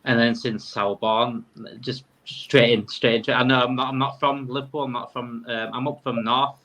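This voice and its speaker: This is a man in his thirties with a Yorkshire accent. His pitch sits in the middle range for a man. He talks in a casual, conversational way, like a guest answering questions on a fan podcast. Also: level tone, moderate pace, bright, forceful tone, rough recording. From Yorkshire, England. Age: 30s.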